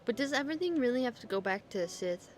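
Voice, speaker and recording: A woman's voice: fairly high pitch, expressive, fast talker. A woman streaming a game, giving casual, exclamatory live reactions in an informal way.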